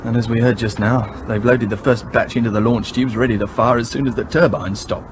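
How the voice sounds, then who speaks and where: An actor in a radio drama playing a practical, wry submarine technician, speaking in a terse, matter-of-fact way. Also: male voice, medium pitch, steady tone, fairly quick, natural voice. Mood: tense, focused. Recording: noisy, constant background ambience.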